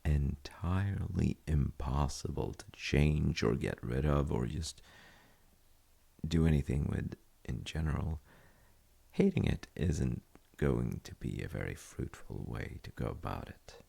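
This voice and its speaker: Guy playing a comforting dad in audio roleplay, super crackly husky voice, mellow, kinda hesitant and musing. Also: animated, unhurried, heavily acted. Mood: reflective, gentle. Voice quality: very deep, gravelly, husky. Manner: hesitant, musing, soft-spoken. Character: gentle, thoughtful dad comforting his child. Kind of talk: intimate audio roleplay, slow bedtime chat.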